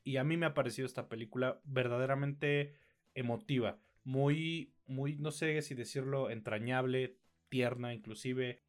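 A man with a Mexican accent and a mid-pitched voice talks through a film review as a podcast co-host. His delivery is conversational and informal, with slang and a habit of working out his thoughts aloud. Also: clear, resonant tone.

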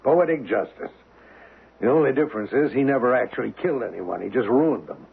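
Gravelly cynical embassy official, radio drama. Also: male voice, medium pitch, animated, a little fast, full character voice. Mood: sardonic. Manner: wry, clipped, commanding. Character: brisk, cynical American embassy official, male. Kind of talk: old-time radio drama acting. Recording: tinny, band-limited old radio broadcast.

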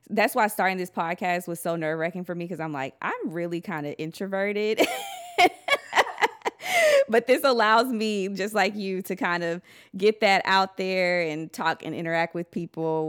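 Female podcast host, lowish register, effusive, laughs readily, casual upbeat interview style. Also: sing-song, normal speed, smooth.

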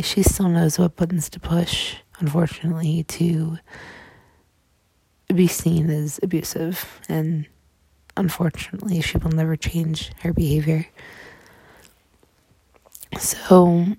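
A young woman with a low, husky voice tells her own story slowly and haltingly, stopping often and starting again. Her tone is dark and muffled, and the recording is dry and close. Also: dry recording. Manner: halting, soft-spoken, many fillers and restarts. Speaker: podcast host. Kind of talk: personal storytelling monologue.

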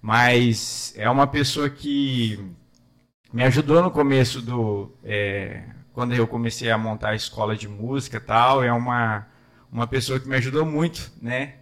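A man's voice: medium pitch, fairly even intonation, moderate pace, a bit bright. A man hosting a casual interview, joking and laughing often. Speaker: interviewer, music school owner.

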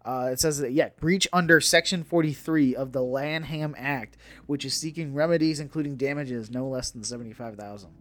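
A man's voice: medium pitch, steady tone, brisk. A man co-hosting a podcast in a casual, conversational style, often restarting and repeating his phrases as he goes. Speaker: podcast co-host. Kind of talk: casual chat about entertainment news.

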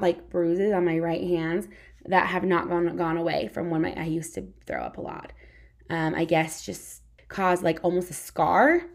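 A young woman speaks in a scratchy, gravelly voice that sits a little low. She talks to camera in a frank, conversational way, leaning on "like" as a filler. The delivery is candid and unpolished, like an everyday vlog. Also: darkish tone. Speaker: vlogger. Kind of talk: candid personal talk to camera.

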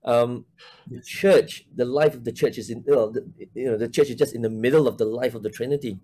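A man's voice: medium pitch, fairly quick, warm tone, slight background noise. A man in his forties from Malaysia, a church minister talking casually in an interview, hesitant, with many fillers and restarts.

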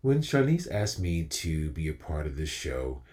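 Really deep voiced guy doing slow motivational spoken word, deliberate pauses, big echoey reverb on it. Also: sing-song, darkish tone. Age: young adult. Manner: deliberate, measured phrasing with emphatic pauses. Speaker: featured storyteller. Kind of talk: inspirational spoken-word monologue. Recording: long hall-like reverb on the voice.

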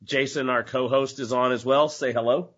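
A man hosts a casual podcast chat in a middle-pitched voice. He talks loosely, with plenty of filler words. The recording sounds a little dull and lo-fi. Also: fairly even intonation, moderate pace, fairly bright tone. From United States. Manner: loose, peppered with "you know" and "uh". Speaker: podcast host.

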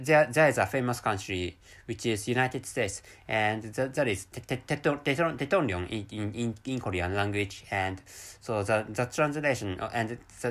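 A Japanese man talks alone into the mic for a podcast, in English that carries a clear Japanese accent. His voice sits at an ordinary middle pitch. He hesitates constantly, breaking off words and repeating them before going on. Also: fairly bright tone. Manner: halting, many restarts and repeated words. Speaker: podcast host. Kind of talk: solo podcast monologue in English.

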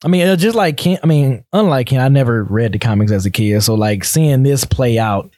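A man's voice: mid-range voice, animated, brisk, a little mellow, no room sound. A man chatting casually in a group discussion about films.

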